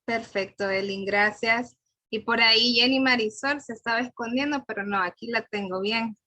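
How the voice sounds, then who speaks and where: Bright-voiced woman facilitator on a video call. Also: a little high, normal speed, no echo. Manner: warm, encouraging, calls on participants by name. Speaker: forum facilitator. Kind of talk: online workshop moderation.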